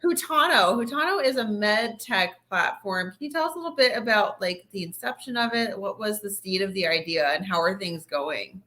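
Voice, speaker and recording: A woman's voice: medium pitch, animated, normal speed, few pauses, pushed and bright. A woman in her thirties hosting a podcast interview, chatty and peppering her speech with "like". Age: 30s. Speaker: podcast host.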